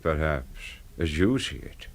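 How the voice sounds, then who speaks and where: An actor playing a cold, menacing older master of a house in a radio drama, speaking in a measured, formal, condescending way. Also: male voice, very deep voice, big pitch swings, exaggerated voice. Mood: quiet menace.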